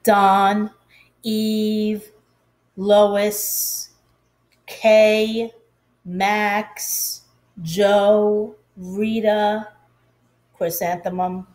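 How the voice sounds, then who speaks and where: A woman voicing a female mouse schoolteacher in a storybook, measured and firm. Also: medium pitch, flat delivery, darkish tone. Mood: formal.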